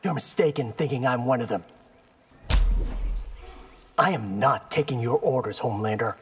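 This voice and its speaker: An actor playing a defiant, wry young warrior hero in a fighting game. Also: male voice, medium pitch, moderate pace, fairly bright tone, a little hiss or hum, close to an everyday voice. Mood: defiant, sarcastic. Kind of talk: fighting-game character dialogue.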